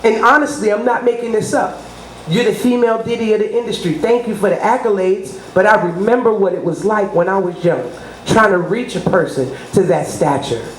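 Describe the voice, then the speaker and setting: A woman's voice: medium pitch, fairly quick. A middle-aged woman giving promotional interview talk as a radio personality, emphatic and boastful, often checking that the listener understands. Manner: emphatic, boastful, frequent rhetorical check-ins. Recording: roomy echo with clear background noise.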